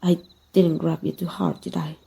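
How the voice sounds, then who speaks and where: Woman acting a dark, soft-voiced flirtatious villainess. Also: lowish voice, level tone. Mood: concerned, tender. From Mexico. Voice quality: breathy, soft, sometimes whispering. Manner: teasing, intimate, unhurried. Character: flirtatious female villain, teasing, secretive, tender lover. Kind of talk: ASMR roleplay voice acting.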